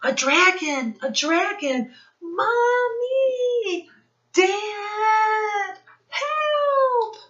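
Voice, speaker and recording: Woman playing a high-pitched frightened little boy king. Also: animated, extremely slow, a bit bright, dull audio, full character voice. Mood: frightened yelping. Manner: exclaiming, childlike.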